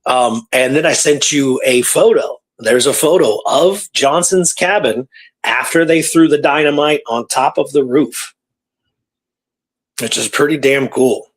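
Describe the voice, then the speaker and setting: A man hosting a talk show, retelling a true story in a casual, animated way with repeated phrases and pauses for drama. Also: mid-range voice, slightly rough. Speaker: podcast host telling a true historical story.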